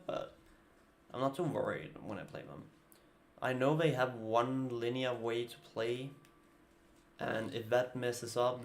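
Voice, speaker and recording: Gravelly Danish-accented man narrating card-game moves. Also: medium pitch, a little hiss or hum. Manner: thinking aloud while playing, casual, muttering asides. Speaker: streamer. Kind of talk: live gameplay commentary.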